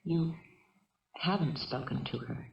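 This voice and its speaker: An actress playing a calm, caring middle-aged mother held hostage in a 1970s radio drama, measured, earnest and gently persistent. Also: a bass voice, creaky, heavily acted. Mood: gently coaxing. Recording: dull, echoey vintage radio recording.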